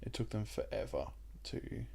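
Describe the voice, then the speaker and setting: Young Australian guy, low and gravelly, a bit husky, loose rambling let's-play chatter. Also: normal speed. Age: young adult. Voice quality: croaky, gravelly voice. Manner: rambling and relaxed, with many ums. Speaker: gaming YouTuber. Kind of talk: casual let's-play commentary.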